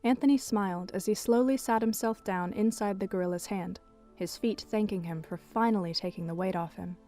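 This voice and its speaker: A woman reads scripted story narration for an audio drama. Her voice sits at a medium pitch, with music playing softly behind it. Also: fairly quick.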